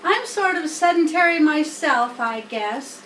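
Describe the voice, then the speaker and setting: Young male storybook pig, played by a woman: naive, dreamy, earnest, high voice, recorded in a roomy space. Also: animated, measured pace, fairly bright tone. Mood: longing. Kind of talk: children's storybook character reading. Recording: roomy, distant mic, faint noise.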